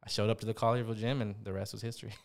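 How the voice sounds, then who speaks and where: A man's voice: lowish voice, little pitch movement, very fast, creaky. A young man from Memphis, chatting in an interview about joining a gym, hesitant with lots of fillers. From Memphis, USA. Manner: chatty, hesitant with many fillers, laughs often. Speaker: gym member, guest. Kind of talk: casual interview.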